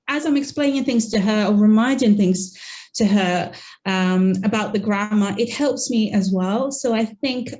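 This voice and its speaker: A woman's voice: medium pitch, darkish tone. A woman giving friendly, conversational language-learning advice in an online webinar, with plenty of "you know" fillers, heard over a video call. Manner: chatty, encouraging, uses many fillers like "you know". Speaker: guest teacher in a webinar.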